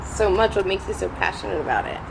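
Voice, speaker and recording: A woman hosting a podcast, chatty and bubbly, laughing often and filling pauses with ums. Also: mid-range voice, big pitch swings, creaky, background noise. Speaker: podcast host. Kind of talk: casual podcast chat and show intro.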